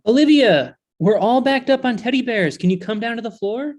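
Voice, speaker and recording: An actor playing an exasperated, earnest, jealous male elf in a comedy table read, indignant and halting. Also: medium pitch, lively intonation, darkish tone, natural voice.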